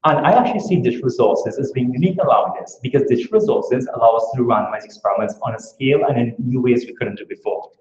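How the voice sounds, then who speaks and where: A man with a Trinidadian accent gives an academic talk at a rapid clip, posing questions to his audience as he explains. His voice sits in a middle register. The room is echoey and the recording sounds somewhat muffled. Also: medium pitch, darkish tone. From Trinidad and Tobago. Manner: brisk, earnest, explaining with rhetorical questions. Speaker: academic speaker. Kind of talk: conference talk. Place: lecture room.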